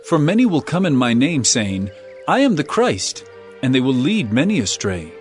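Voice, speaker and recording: An actor playing a solemn, authoritative holy teacher prophesying in an earnest, declamatory way in a dramatized audio Bible. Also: male voice, medium pitch, wildly swooping pitch, moderate pace, soft background music. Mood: solemn warning.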